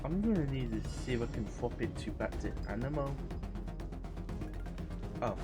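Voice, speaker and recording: A man giving casual let's play commentary, excitable and sweary, exclaiming at what happens in the game. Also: medium pitch, normal speed, over loud music. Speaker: gaming streamer playing and commenting.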